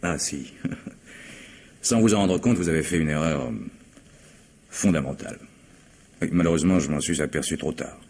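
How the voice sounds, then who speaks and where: A composed police commissioner in an old-fashioned radio mystery. The voice is very low and husky. He lays out his case formally and deliberately, like an investigator sure of his method. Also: a little mellow, full character voice. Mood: calm, accusing.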